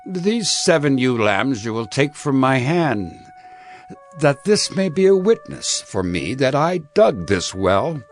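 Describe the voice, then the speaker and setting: An actor playing an aged, dignified patriarch in a dramatized scripture, formal and deliberate. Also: male voice, mid-range voice, big pitch swings. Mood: solemn. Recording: background music under the voice.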